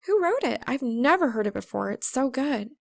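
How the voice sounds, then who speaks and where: A woman's voice: high voice, sing-song, moderate pace, creaky, no echo, studio quality, pitched above the performer's own voice, full character voice. A woman voicing an earnest, flustered young female college student with a hesitant manner in a story reading. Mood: admiring. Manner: hesitant, breaking off mid-thought.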